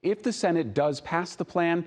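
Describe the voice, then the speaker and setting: A man's voice: on the high side, normal speed. A man working as an investigative reporter, explaining a story in a TV news studio.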